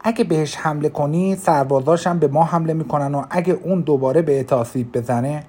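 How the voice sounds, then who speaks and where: A man's voice: mid-range voice, very animated, mellow, breathy edge. A man voicing a defiant young woman archer who speaks fiercely and commandingly, in an audiobook. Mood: angry. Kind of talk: audiobook character reading.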